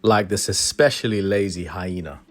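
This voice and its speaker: A man with a low voice tells the story of a safari in an earnest, reflective voice-over. Soft music plays beneath him. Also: normal speed. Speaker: wildlife photographer and vlogger. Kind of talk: reflective travel narration.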